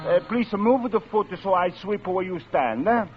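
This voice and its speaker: An actor playing a simple, chatty railway platform sweeper with a foreign accent and broken English, in an old radio drama. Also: male voice, sits high, lively intonation, moderate pace, over music. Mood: friendly, chatty. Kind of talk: 1940s radio drama character acting. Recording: muffled, noisy vintage radio recording.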